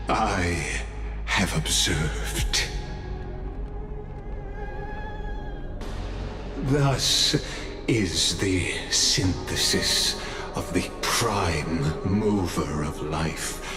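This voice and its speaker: A man playing a cold, all-observing mastermind villain in a video game, pompous and deliberate, pausing for effect. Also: low-pitched, full character voice. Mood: smugly grandiose.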